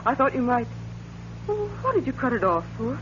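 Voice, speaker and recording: A woman's voice: a little high, very animated, fairly quick, fairly bright tone. An actress playing a woman with a secret, a former nurse and wife, in 1940s radio melodrama style. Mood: tender, controlled. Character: accused former nurse, now a composed, affectionate wife. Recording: dull noisy 1940s radio recording.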